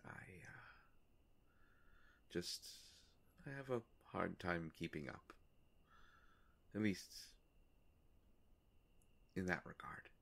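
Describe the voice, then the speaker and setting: Man playing a slow, low, gravelly vampire. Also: animated, a bit bright, exaggerated voice. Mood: sheepish, musing. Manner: slow, halting, musing, polite delivery with long pauses. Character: courteous, world-weary immortal male vampire, gently wry. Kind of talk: intimate roleplay audio monologue to the listener.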